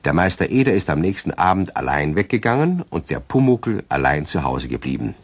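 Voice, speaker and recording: A man's voice: low-pitched, sing-song, brisk, no echo, full character voice. A man narrating a children's radio play in scripted storytelling style.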